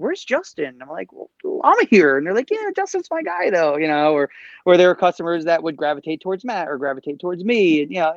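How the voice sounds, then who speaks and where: A man's voice: a little high, no room sound, highs cut off. A man in his late forties, a comic shop owner, chatting as a podcast guest with a wry, joking manner. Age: 40s. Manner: joking, wry, acts out customers. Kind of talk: casual interview chat.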